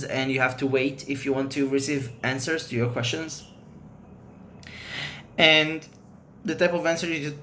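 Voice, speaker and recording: A man's voice: a little high, a bit bright, a little hiss or hum. A man whose first language is Hebrew, chatting casually to camera as a vlogger, rambling loosely and giving frank opinions.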